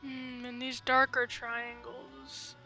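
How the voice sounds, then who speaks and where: A woman with a high, strikingly bright voice commentates casually on a game stream. She rambles and thinks aloud, often tentative, while game music plays faintly behind her. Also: level tone, slow, vocal fry. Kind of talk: casual livestream gameplay commentary.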